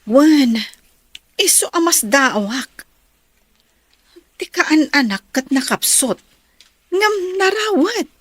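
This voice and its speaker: A woman's voice: sits high, very animated, slow pace, pauses often, a bit bright, dry recording, full character voice. A woman acting a concerned young woman in an Ilocano audio drama.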